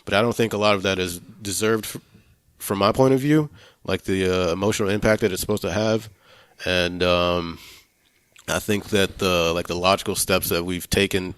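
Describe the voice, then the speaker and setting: Male podcast host, very deep voice, close dry sound, hesitant and conversational, loose with swearing. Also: fairly even intonation, fairly bright tone, clear, resonant tone, dry recording. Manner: halting, searching for words, casual swearing. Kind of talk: casual film review discussion.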